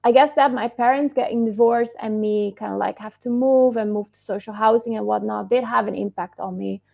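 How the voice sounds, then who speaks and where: A woman's voice: a little high, steady tone, a little fast, creaky, a little mellow. A woman from the Netherlands with a Dutch accent, a guest answering interview questions thoughtfully and reflectively, often hedging with "I guess". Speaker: guest, startup founder. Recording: muffled lo-fi audio.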